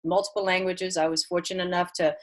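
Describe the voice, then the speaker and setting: A middle-aged woman from Belgium, Dutch and French her first languages, answers interview questions in a slightly low voice. She speaks earnestly, often restarting her words and leaning on fillers. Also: little pitch movement, fast. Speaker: head tennis coach. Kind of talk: remote interview.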